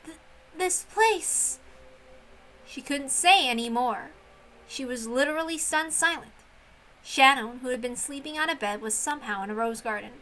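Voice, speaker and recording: High, bright-voiced woman narrating. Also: moderate pace, a little hiss or hum, poor audio. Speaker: reader of a visual novel. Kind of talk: expressive scripted story narration.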